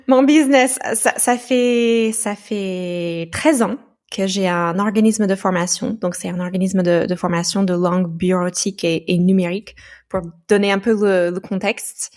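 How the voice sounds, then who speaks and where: A woman from the United States, a podcast guest talking through her experiences in a thoughtful, halting way with frequent restarts. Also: medium pitch, darkish tone. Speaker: podcast guest, founder of a training company. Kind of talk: casual podcast conversation.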